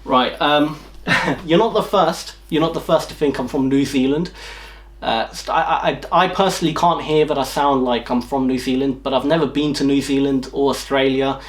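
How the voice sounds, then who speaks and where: A man's voice: medium pitch, steady tone, moderate pace. A man from near London with an English accent, answering viewer questions casually to camera, full of ums and restarts, with a slight slur from a jaw impediment. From England, north of London. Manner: rambling, lots of ums and restarts. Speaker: YouTube channel host.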